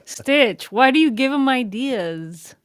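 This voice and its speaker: A woman on a live stream panel talks slowly and casually. Her voice sounds dry, with no room echo around it. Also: sits high, expressive, clean tone. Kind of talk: casual chat.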